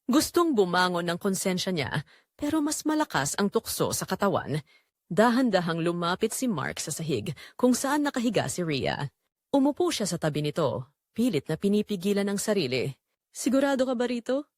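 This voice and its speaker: A woman's voice: lowish voice, sing-song, normal speed, no echo, clean studio sound. A woman with a Filipino accent reading a scripted dramatic story as the narrator of an audio drama.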